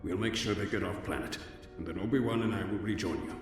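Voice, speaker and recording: A man playing a brisk, dependable Jedi knight in a dramatic comic dub. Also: low voice, natural voice. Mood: resolute. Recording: echoey cave reverb, distant, faint music.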